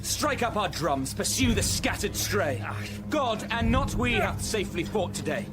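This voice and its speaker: An actor playing a proud, cold, commanding young prince in a classical radio drama, with declamatory authority. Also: male voice, sits high, sing-song, measured pace, loud music behind the voice.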